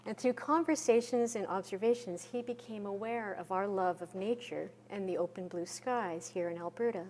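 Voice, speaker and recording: A soft-spoken woman giving a measured conference presentation from a podium. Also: medium pitch, a little hiss or hum. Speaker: conference speaker. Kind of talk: presentation talk.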